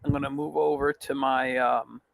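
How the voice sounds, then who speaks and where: A man hosting his podcast on a casual livestream announcement, rambling with fillers, his voice raspy at times. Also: mid-range voice, little pitch movement, normal speed, poor audio. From New York. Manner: rambling, frequent fillers and restarts. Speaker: podcast host.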